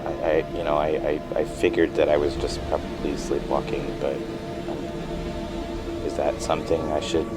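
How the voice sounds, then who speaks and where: Deep-voiced, halting, anxious man. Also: monotone, loud music behind the voice. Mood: uneasy, hesitant. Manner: halting, stammering, self-conscious. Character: anxious, apologetic man struggling with memory loss. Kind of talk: naturalistic fiction podcast dialogue.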